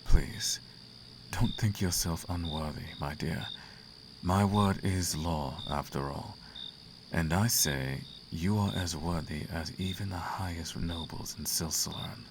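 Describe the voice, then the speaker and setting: Man voicing a very deep, gravelly demon prince. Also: background noise. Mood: seductive, indulgent. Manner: slow, courtly, teasing. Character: seductive, imperious male demon prince of the Abyss. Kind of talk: flirtatious roleplay ASMR acting.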